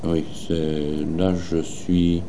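A man with a Walloon Belgian accent answering interview questions in a hesitant, measured way, with frequent filler pauses. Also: low-pitched, level tone, noisy background. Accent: Walloon Belgian French.

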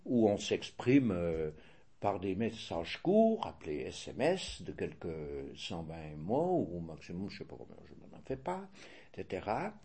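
An older man with a Swiss French accent answering interview questions in a measured, hesitant way, often restarting and correcting himself. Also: sits low, wildly swooping pitch, dark tone, husky.

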